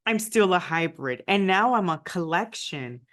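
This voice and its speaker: A woman's voice: fairly low pitch, animated, measured pace, fairly bright tone. A Nicaraguan American woman, a former diplomat, telling her story in an interview with frequent "right?" tags. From United States, family from Nicaragua. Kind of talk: conversational interview.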